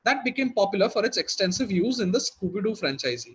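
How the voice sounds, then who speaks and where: A man's voice: high-pitched, very animated, fast talker, husky. A man working as a quizmaster, reading out questions and hosting in a conversational way.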